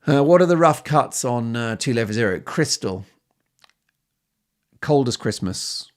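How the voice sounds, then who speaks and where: A man's voice: mid-range voice, lively intonation, unhurried. A man hosting a podcast in a chatty solo monologue, speaking emphatically and with opinion, often building his points up in lists. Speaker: podcast host.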